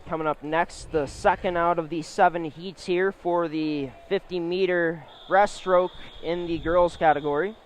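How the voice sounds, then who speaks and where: A man calling a swim meet live as play-by-play commentator, with measured announcer phrasing. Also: fairly high pitch. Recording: steady clear background venue noise. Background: swim meet ambience.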